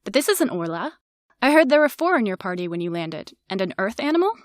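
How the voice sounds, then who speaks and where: An actress playing a courteous, diplomatic alien president in an audio drama, speaking in a formal, measured manner. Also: female voice, medium pitch, big pitch swings, no room sound, clean studio sound, natural voice. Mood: formal, gracious.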